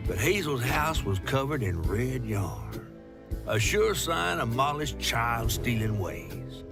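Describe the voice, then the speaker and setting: Folksy Southern talking catfish, animated. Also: male voice, medium pitch, slow, music in the background. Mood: storytelling. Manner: folksy, drawling, wry. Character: folksy old giant talking catfish, wry storyteller. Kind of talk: video game character dialogue and storybook narration.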